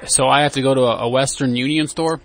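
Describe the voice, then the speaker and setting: A man's voice: medium pitch, steady tone, fast. A man chatting casually on a phone call, agreeable and sounding a little naive as he answers and asks simple questions. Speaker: prank call taker. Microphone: recording his side of a phone call.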